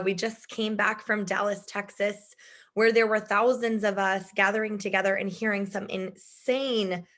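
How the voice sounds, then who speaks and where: Woman in her 30s, chatty video call pitch, bubbly, voice strained from shouting. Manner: bubbly, chatty, laughs often. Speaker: business presenter.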